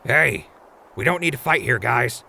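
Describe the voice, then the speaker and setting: An actor playing a wisecracking, chatty ghoul radio host in a post-apocalyptic audio drama. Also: male voice, medium pitch, expressive, measured pace, vocal fry, sharp tone, slight background noise, heavily acted. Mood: anxious pleading.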